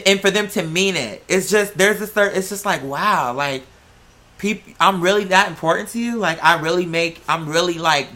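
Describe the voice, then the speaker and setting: An androgynous-sounding American vlog host chatting casually and gossiping in slangy, sassy style, leaning hard on drawn-out stressed words. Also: medium pitch, pushed and bright.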